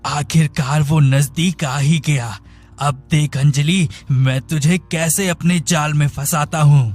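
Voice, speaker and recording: A man voicing a cunning blind schemer who puts on a plaintive, melodramatic manner in an animated story. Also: fairly high pitch, takes it slowly, soft background music. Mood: scheming. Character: scheming blind man feigning helpless, wronged innocence. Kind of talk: animated moral story dialogue.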